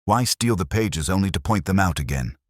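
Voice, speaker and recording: A man's voice: deep voice, lively intonation, brisk, no room sound, clean studio sound, voice pushed up. A man voicing an eager, blundering, easily flustered police sergeant with a blunt, urgent manner. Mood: puzzled.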